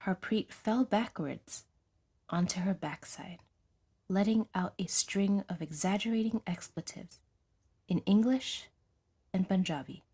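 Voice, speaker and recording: Husky, unhurried woman narrating fiction. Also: medium pitch, mellow. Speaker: audio drama narrator. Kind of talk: scripted fiction narration with character dialogue.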